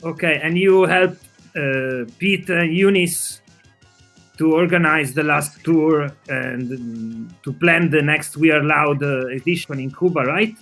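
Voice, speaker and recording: A man's voice: on the high side, unhurried, fairly bright tone, faint music behind. A man from Italy, a native Italian speaker with an Italian accent, hosting an online video call show in a friendly, hesitant way. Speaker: show host.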